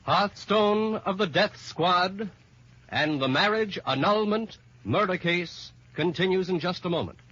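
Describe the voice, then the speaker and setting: A man's voice: high voice, expressive, a little slow, a bit bright, breathy edge. A man announcing and narrating an old-time radio drama with dramatic, emphatic scripted delivery. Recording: dull, muffled old radio recording.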